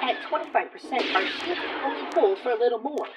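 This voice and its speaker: A woman's voice: a little high, expressive, fast, recorded from a distance, background noise, lightly acted. A woman playing a spirited, cautious starship engineer in a space audio drama, giving frank, urgent reports. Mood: urgent, worried.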